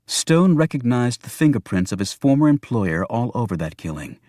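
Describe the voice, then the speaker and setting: A man's voice: mid-range voice, sing-song, moderate pace, warm tone, dry recording, clean studio sound. A man narrating a thriller novel as a scripted audiobook.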